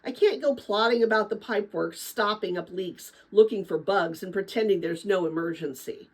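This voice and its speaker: A woman's voice: mid-range voice, moderate pace, lightly acted. A woman voicing an earnest, determined young boy in a storybook read-aloud, with an intense manner.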